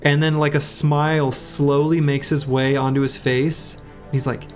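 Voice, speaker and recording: A man streaming a game, chatting casually with viewers in a rambling way, his voice a little hoarse. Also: a little high, flat delivery, unhurried. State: slightly hoarse. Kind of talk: casual live-stream chat while playing. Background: faint game music.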